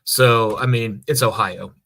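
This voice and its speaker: An American man co-hosting a podcast, explaining things in a casual, loose and halting way. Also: medium pitch, gravelly, fairly bright tone, husky. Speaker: podcast co-host. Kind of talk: casual chat.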